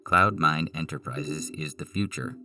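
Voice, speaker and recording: A man's voice: rumbling low, unhurried. A man voicing an officious corporate sales machine with formulaic lines in a science fiction story. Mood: promotional. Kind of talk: dramatized audio fiction reading. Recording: music bed behind the voice.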